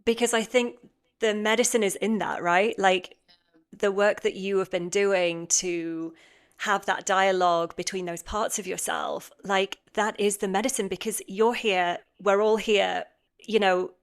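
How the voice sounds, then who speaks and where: A woman's voice: medium pitch, fairly even intonation, measured pace, clean tone. A woman hosting a podcast interview who responds to her guest in a reflective, affirming way. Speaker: podcast host. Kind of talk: interview conversation.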